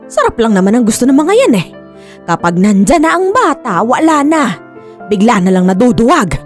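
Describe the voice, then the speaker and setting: Scornful Filipino mother with wildly swinging pitch. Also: sing-song, normal speed, faint music behind. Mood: cynical. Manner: taunting, mocking, sighing exclamations. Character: scornful, hard-edged older mother, illicit abortionist. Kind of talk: Filipino radio drama acting.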